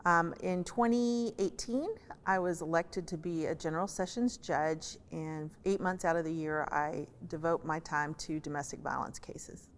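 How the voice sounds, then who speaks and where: A woman born in Colombia, a judge taking part as a guest, speaking in a measured way with frequent pauses. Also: sits low, a little hiss or hum. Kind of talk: conversational discussion. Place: large library reading room. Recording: echoey library reading room.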